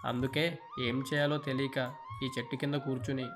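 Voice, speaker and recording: A man's voice: mid-range voice, little pitch movement, moderate pace, music in the background, natural voice. A man voicing an earnest, respectful young musician in a children's cartoon story. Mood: sorrowful. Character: earnest, troubled young male musician, devoted son.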